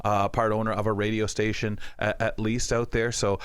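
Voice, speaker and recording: A man's voice: fairly low pitch, flat delivery, moderate pace, clear, resonant tone, no room sound. A man hosting a casual radio talk show in a conversational, enthusiastic way.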